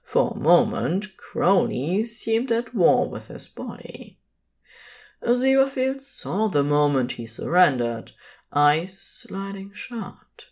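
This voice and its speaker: Low-voiced woman narrator, slow and expressive. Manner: measured, phrase-by-phrase storytelling. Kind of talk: scripted fiction narration.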